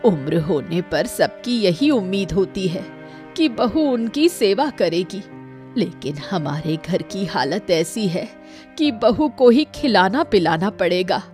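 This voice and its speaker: A woman's voice: mid-range voice, big pitch swings, slow pace, darkish tone, faint music behind. Woman voicing a bitter, complaining old cartoon mother. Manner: complaining, reproachful. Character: bitter, hunchbacked old mother resenting her daughter-in-law. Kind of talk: Hindi cartoon dialogue acting.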